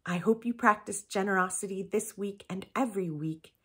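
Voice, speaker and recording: A young woman reading aloud and reflecting for children in a deliberate, carefully enunciated way. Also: mid-range voice, fairly bright tone, slightly rough. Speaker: children's story reader and presenter. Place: indoors in a home room with windows.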